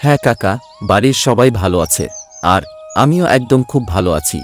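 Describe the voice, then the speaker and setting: A man's voice: medium pitch, expressive, brisk, faint music behind, lightly acted. A man playing a hardworking poor vegetable farmer and doting father in a cartoon, earnest and good-natured. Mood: cheerful, respectful. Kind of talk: Bengali cartoon voice acting.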